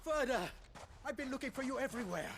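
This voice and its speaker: High, creaky, anxious loyal friend. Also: male voice, lively intonation, quick pace, fairly bright tone, slight background noise, exaggerated voice. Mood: urgent. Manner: urgent, earnest. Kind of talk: dramatic video game acting.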